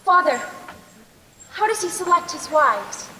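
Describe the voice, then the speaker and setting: A teen girl acts out a brave, determined young heroine on a school stage. Her voice is very high and rings in a big echoey hall, with faint noise around it. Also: very animated, measured pace, sharp tone, full character voice. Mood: earnest, concerned. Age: young teenager. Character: brave, resolute young daughter determined to stop a tyrant. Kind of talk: school stage play acting.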